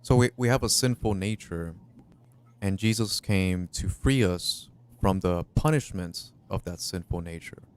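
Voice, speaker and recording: Man, 30s, low voice, reflective co-host of a relaxed talk show. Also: a little slow, smooth. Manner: reflective, thinks aloud with restarts. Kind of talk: casual late-night chat.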